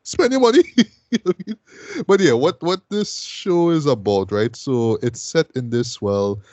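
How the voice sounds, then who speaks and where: A man's voice: mid-range voice, normal speed, darkish tone, no echo. A man from Trinidad, casually reviewing a show as a podcast host, rambling with lots of fillers and "right?" tags.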